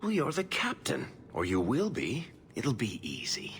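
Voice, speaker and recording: A man's voice: mid-range voice, wildly swooping pitch, measured pace, a bit bright. A man playing a roguish, wheedling schemer in a fantasy game, with a sly, mock-wounded manner. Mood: teasing, persuasive. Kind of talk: dramatic game cutscene acting.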